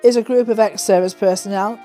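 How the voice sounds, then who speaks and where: Mellow woman narrator over soft music. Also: medium pitch, fairly even intonation, fairly quick. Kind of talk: scripted documentary narration.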